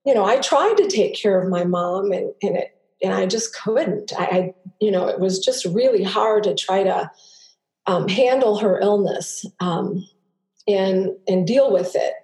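A middle-aged woman, a native Greek speaker, telling her personal story as a podcast guest in an earnest, halting way with many restarts. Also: medium pitch, darkish tone. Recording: roomy echo.

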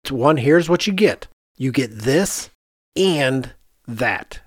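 A man's voice: mid-range voice, animated, slow, dry recording. Middle aged guy hosting a podcast, casual and candid, really emphatic, dry close mic. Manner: candid, emphatic, repeats phrases for effect. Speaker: podcast host, architect.

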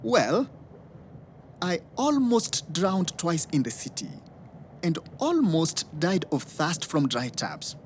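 Woman as a low-voiced, earnest factory worker, slow and lilting, soft music. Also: sing-song, a little hiss or hum. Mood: complaining. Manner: earnest, rousing, chant-like. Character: frustrated city factory worker rallying people. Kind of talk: radio play acting.

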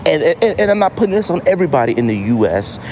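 A man's voice: fairly high pitch, big pitch swings, fast, a little mellow. An American man hosting a podcast in a casual solo monologue, repeating phrases for emphasis. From United States. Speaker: podcast host. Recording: noisy outdoor city street ambience. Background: street noise, traffic, banging.

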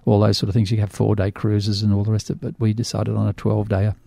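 Gravelly older man, rambling chat. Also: on the low side, completely flat delivery, fast talker, dark tone, no echo. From Australia. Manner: rambling, stumbles and repeats words. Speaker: radio show regular. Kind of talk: casual radio chat.

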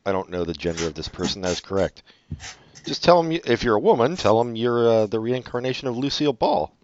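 A man's voice: mid-range voice, expressive, fast, dry recording, muffled recording. A man co-hosting a casual chat, reading advice letters and making sarcastic, profane jokes. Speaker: podcast co-host.

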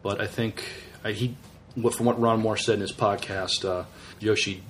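A man co-hosting a podcast, chatting casually and haltingly, often repeating words as he searches for phrasing. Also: on the low side, steady tone, fairly bright tone, slight background noise. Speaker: podcast co-host. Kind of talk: casual chat reviewing a TV series.

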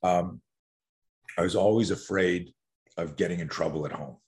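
A man's voice: low voice, moderate pace, darkish tone. A man in his sixties from Canada, a podcast guest telling rambling stories with many restarts. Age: 60s. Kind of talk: casual podcast interview.

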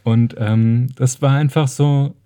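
A man speaks in a mellow, dark-toned voice of average pitch. He co-hosts a podcast in casual chat, colloquial and opinionated. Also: fairly even intonation, slow pace. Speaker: podcast co-host.

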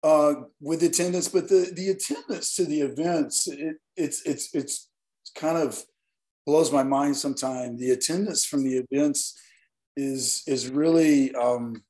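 A hoarse man from Northeast Alabama, a guest talking earnestly and at length in an interview. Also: on the high side, fairly even intonation, a little slow, dark tone. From Northeast Alabama, US South. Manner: earnest, rambling explanations. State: hoarse, partly lost voice. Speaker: guest, nightlife event promoter. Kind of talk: casual interview talk.

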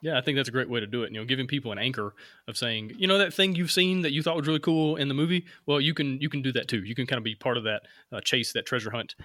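A man's voice: medium pitch, very fast, sharp tone, no room sound, studio quality. A man in his thirties with a Southern American accent, hosting a casual interview chat in an upbeat, rambling way with easy laughter. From Alabama. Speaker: podcast host.

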